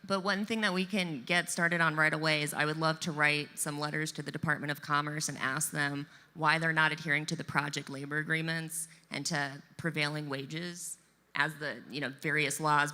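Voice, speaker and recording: A woman political candidate answering debate questions in a brisk, conversational way. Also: deep voice, little pitch movement, sharp tone. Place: live debate in a large event venue. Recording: long reverb in a large venue.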